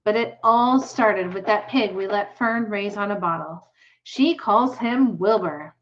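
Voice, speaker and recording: A fussy, anxious farm mother from a storybook, read aloud by a woman. She sounds puzzled and insistent, at a medium pitch. The sound is a little dull and lo-fi. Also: unhurried, a little hiss or hum, natural voice. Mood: worried.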